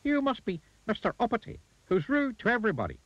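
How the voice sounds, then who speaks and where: A mischievous little storybook goblin, pitched way up high and swinging freely in tone. He teases and taunts with playful cheek. The recording is slightly dull, with music. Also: huge pitch swings, fast, fairly bright tone, dry recording, heavily acted. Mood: cheeky, curious. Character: cheeky, mischievous male goblin, grinning and teasing. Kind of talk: children's storybook character voice.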